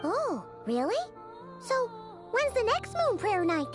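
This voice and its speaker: A woman voicing a small, curious, chatty girl companion with a squeaky childlike voice in a video game. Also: huge pitch swings, takes it slowly, loud music behind the voice, heavily acted. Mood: puzzled. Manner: inquisitive, refers to self in third person. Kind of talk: video game cutscene acting.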